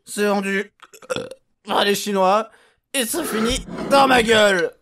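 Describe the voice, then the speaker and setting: A man's voice: high voice, unhurried, fairly bright tone. A man playing a bitter, drunken minion clone in a comedy audio serial, slurring and full of self-pity. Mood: drunken grumbling.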